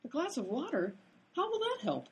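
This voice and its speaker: An actress playing a grumbling, exasperated vaudeville tap dancer in a radio comedy, with a whiny, complaining delivery. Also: female voice, high-pitched, huge pitch swings, normal speed, full character voice. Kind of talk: old-time radio comedy acting.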